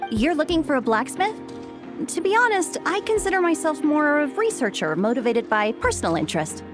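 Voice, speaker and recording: A woman voicing a friendly, chatty young researcher and blacksmith in a video game, with an expressive manner. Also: sits high, fairly quick, music in the background. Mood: surprised, friendly.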